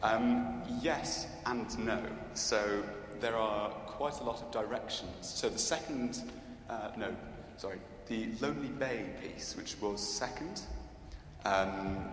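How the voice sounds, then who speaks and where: Low-voiced man in an echoing church. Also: slow pace. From Scotland. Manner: hesitant, thoughtful, many fillers and restarts. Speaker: guest cellist answering audience questions. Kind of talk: unscripted Q&A answers. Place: large church. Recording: echoey church with background noise.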